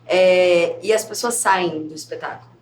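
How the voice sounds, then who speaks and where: A woman's voice: fairly low pitch, moderate pace. A theater company woman talks in an engaged, earnest way, working out her thoughts as she goes. The recording is roomy, with noise underneath. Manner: earnest, engaged, thinking aloud. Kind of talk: casual panel discussion. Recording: echoey room with background noise.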